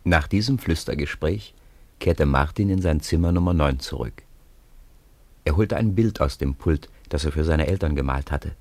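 Male storyteller, low voice, dry close sound, narrating children's radio drama. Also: normal speed, darkish tone, no room sound.

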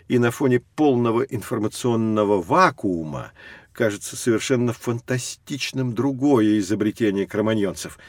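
A man playing a knowledgeable guide in an educational audio drama, explaining things in a measured, instructive way. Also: medium pitch, no echo, lightly acted. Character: knowledgeable adult guide leading children through prehistory.

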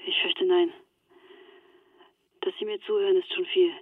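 A woman's voice: fairly low pitch, little pitch movement, moderate pace, no echo. An actress playing an anxious, resigned young woman in a German radio drama, speaking earnestly through a telephone filter. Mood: urgent, sober. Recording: thin, band-limited telephone line.

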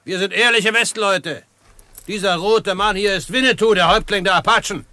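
An actor playing a confident, courteous frontier hero in a western radio drama, speaking with polite assurance. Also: male voice, high voice, normal speed, pushed and bright, no room sound. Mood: assured, courteous.

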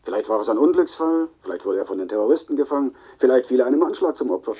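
An actor playing a frank, cooperative colleague in a radio play, answering in a measured, reflective and helpful way. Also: male voice, medium pitch, expressive, dark tone, husky. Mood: thoughtful, helpful.

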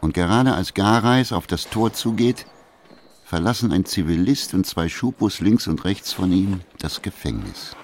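A male narrator reading a radio drama in a measured, sober way. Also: sits low, lively intonation, slight background noise. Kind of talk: scripted narration.

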